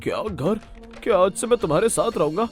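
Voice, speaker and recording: A man's voice: high-pitched, expressive, brisk, faint music behind. A man voicing a hungry, anxious donkey in a cartoon story, plaintive and questioning. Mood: surprised.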